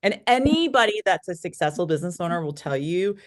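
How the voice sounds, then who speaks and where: A woman in her late forties with an American accent and a low-pitched voice. She speaks as an interview guest, telling personal stories in a candid, talkative, conversational way. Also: very animated, fast talker. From United States, born in Korea. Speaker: interview guest, real estate broker.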